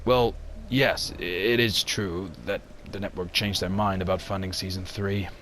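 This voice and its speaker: Man voicing a middle-aged tv presenter, low, quiet, hesitant, stammering. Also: steady tone, unhurried, slight background noise. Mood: defensive. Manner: hesitant, subdued, stammers when cornered. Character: defensive middle-aged male TV presenter, deflated. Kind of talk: amateur motion comic voice acting.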